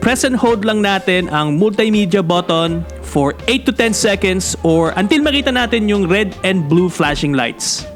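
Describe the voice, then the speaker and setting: Filipino guy doing a casual moto vlog tutorial, kinda high voice, music playing underneath. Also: animated, moderate pace, few pauses. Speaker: motorcycle vlogger and host. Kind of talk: casual bilingual tutorial talk.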